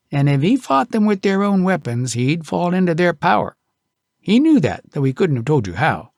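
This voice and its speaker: A man reads a tall tale in a folksy, dramatic storyteller's style. His pitch sits a little high and moves around expressively, with a creaky grain in the voice. The sound is dry and intimate. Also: a little mellow, no echo. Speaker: audiobook narrator.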